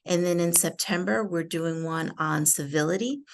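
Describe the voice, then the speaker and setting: Lower-voiced middle-aged woman presenting. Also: normal speed, vocal fry. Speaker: association co-chair. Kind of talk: informal webinar presentation. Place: small indoor room, on a video call.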